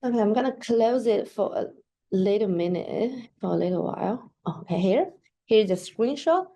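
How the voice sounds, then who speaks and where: A woman whose first language is Mandarin Chinese gives a casual screen-share tutorial of a software app, talking things through as she clicks and often saying "like" and "so that is to say". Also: medium pitch, lively intonation, normal speed. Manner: chatty, with frequent "like" and "so that is to say". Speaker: software demo presenter. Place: at a computer, recording her screen.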